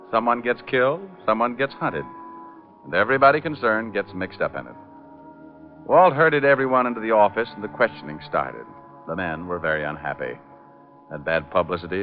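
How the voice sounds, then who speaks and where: An actor playing a wisecracking, breezy private detective, wry and flippant in hardboiled old-time radio narration and dialogue. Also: male voice, on the low side, expressive, moderate pace, natural voice. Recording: muffled, narrow vintage radio recording, music.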